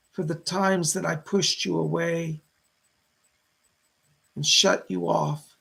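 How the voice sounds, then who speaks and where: A man voicing a weak-voiced, remorseful male spirit in a trance séance, halting, earnest and tender, with a breathy, gravelly voice. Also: on the high side, fairly bright tone, heavily acted.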